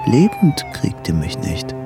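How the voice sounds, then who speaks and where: An actor playing the bitter inner voice of an obsessed young man in a radio drama, hushed, sardonic and intense. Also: on the low side, huge pitch swings, unhurried, loud music behind the voice, exaggerated voice. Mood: fixated.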